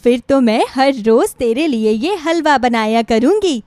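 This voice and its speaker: A woman voicing a gentle, caring middle-aged mother-in-law, reassuring and motherly, in a moral story. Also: sits high, lively intonation, unhurried, no echo. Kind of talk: Hindi moral story acting.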